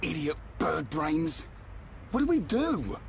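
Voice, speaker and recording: A man's voice: a little high, sing-song, slow pace, creaky, a little hiss or hum, exaggerated voice. A man voicing a brash, blunt young fighter in a video game, talking informally. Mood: angry, suspicious. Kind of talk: dramatic video game voice acting.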